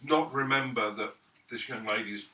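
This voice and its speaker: A man playing a tabletop game, speaking in character in a hesitant, halting way. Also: mid-range voice, pushed and bright, a little hiss or hum. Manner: hesitant, halting with fillers and restarts. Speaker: player. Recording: echoey room from far off mic.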